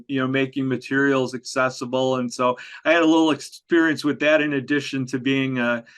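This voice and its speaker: Bright-voiced man hosting an interview. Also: medium pitch. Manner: friendly, with frequent fillers and restarts. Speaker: podcast host. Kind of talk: conversational interview. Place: home office.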